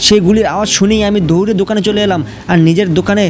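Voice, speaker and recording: A man's voice: high voice, steady tone, rapid-fire. A man telling a scripted horror story as its narrator. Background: music.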